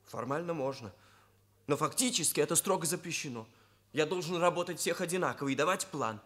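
High-voiced, earnest young male hairdresser. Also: lively intonation, fairly quick, a bit bright. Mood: aggrieved, earnest. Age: young adult. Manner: stilted, pompous officialese. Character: earnest, aggrieved young male hairdresser, stiffly bureaucratic speech. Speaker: actor in radio play. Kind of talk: Soviet radio drama acting.

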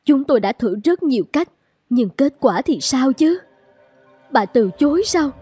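A woman's voice: high voice, lively intonation, unhurried, dark tone, faint music behind. A woman voicing an anxious, hard-pressing wealthy wife and mother in a cartoon fairy tale, fretful and insistent. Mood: pressing, cold.

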